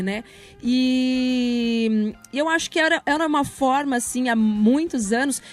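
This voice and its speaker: A woman in her thirties with a Brazilian accent, talking casually as an interview guest and explaining things in a chatty way with examples. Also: fairly high pitch. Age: 30s. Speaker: radio professional, interview guest.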